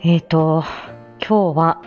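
A woman's voice: low voice, fairly even intonation, slow pace, a little mellow, muffled recording, faint music behind. A woman hosting a casual solo livestream chat, rambling along in a self-effacing, giggly manner. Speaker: livestream host, speech-language therapist.

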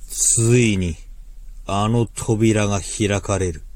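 A man's voice: on the low side, fairly even intonation, slow, a little mellow. A man delivering a scripted promotional announcement with dramatic, emphatic hype.

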